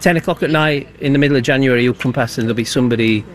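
A man's voice: mid-range voice, racing. A man who is a local resident, telling stories in a wry, chatty way. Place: outdoors on a residential street.

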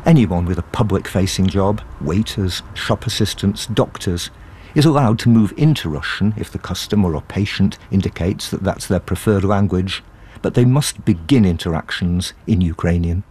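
A man's voice: deep voice, a little mellow, husky. A male radio reporter reading scripted narration and putting short questions to people in the field. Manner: measured, reads narration then asks short pointed questions.

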